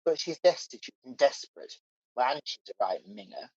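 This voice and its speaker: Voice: mid-range voice, animated, fairly quick, darkish tone, dry recording, highs cut off. An actor playing a wicked, scheming, cynical pantomime villain in a radio play, sneering and gloating theatrically. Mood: gloating menace.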